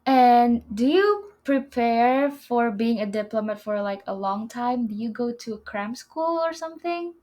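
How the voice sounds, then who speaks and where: A woman's voice: on the high side, expressive, a little slow, few pauses, a little mellow. A young woman from Indonesia co-hosting a student podcast, speaking English as an in an earnest, slightly way. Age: young adult. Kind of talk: casual scripted chat.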